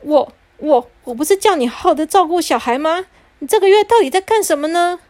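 A woman's voice: sits high, animated, fast, a little mellow. A woman voicing an angry, scolding father. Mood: exasperated. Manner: exasperated scolding, stammering. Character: angry middle-aged father back from abroad.

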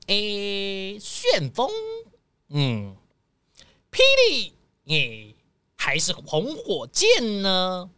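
A woman playing a vain, excitable rich male tycoon in an audio drama, bossy and melodramatic. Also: medium pitch, drawn-out words, pauses often, clear, resonant tone, dry recording, clean studio sound, heavily acted. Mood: bossy, musing.

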